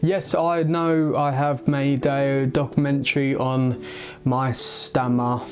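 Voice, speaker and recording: A young man telling his own story to the camera, speaking with a stammer, halting often and restarting words. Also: mid-range voice, level tone. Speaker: vlogger. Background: guitar music.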